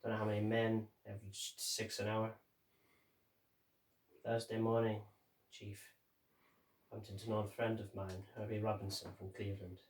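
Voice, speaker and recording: A man playing a grim, haunted sailor who survived a sinking, reciting a dramatic monologue in halting, measured phrases with deliberate pauses. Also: fairly low pitch, monotone, close to an everyday voice. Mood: somber. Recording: roomy echo, distant microphone.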